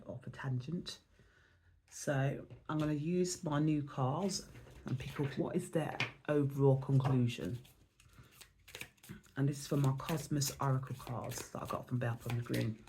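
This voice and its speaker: A woman from England, hoarse and losing her voice, talking in a reflective, rambling way as she gives an oracle card reading in a spiritual vlog. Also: extremely low pitch, moderate pace, warm tone, slight background noise.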